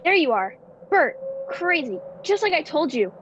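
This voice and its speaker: A woman's voice: high voice, big pitch swings, normal speed, a bit bright, a little hiss or hum. A woman playing a wary, brusque taxi driver in an amateur radio play, on a muffled recording. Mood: alarmed.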